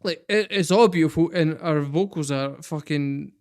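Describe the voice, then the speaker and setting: A man's voice: a little high, moderate pace, a little mellow. A Scottish man hosting a music reaction video, chatting casually and swearing freely. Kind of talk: casual chat to camera.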